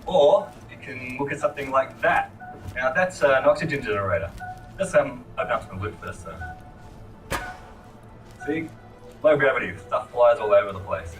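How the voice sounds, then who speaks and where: A man's voice: mid-range voice, distant mic, low-quality recording. A man casually talking while playing a game demo. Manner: relaxed, chatty. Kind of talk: live gameplay commentary.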